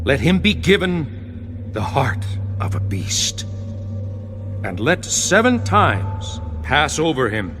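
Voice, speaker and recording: A stern, holy heavenly being in a scripture audio drama. He declaims very slowly and portentously, his pitch rising and falling widely, with music clearly behind him. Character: holy heavenly watcher crying out a stern decree.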